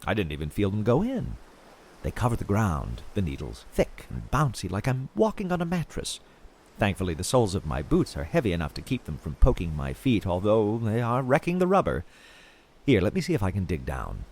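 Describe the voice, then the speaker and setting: A man's voice: fairly low pitch, huge pitch swings, brisk, darkish tone, a little hiss or hum. A man playing an obsessive, chatty podcaster who confides in his listeners about his treasure-hunting expedition in an audio drama. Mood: musing, confiding. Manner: theatrical, confiding, addresses listeners directly. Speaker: podcast host in audio fiction. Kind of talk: audio fiction monologue as a podcast host.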